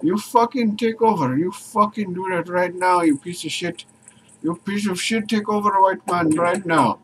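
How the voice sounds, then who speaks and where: A man's voice: high voice, moderate pace, slight background noise, close to an everyday voice, rough recording. A man doing a brash, boastful character with a put-on Indian accent, swearing and mock-threatening in stilted phrasing. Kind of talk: crude improvised comedy bit.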